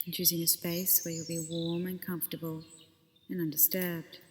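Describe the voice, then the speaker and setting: A woman leading a guided body scan meditation as a teacher, speaking gently and unhurriedly with pauses between phrases. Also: on the low side, little pitch movement, in a large hall, slight background noise.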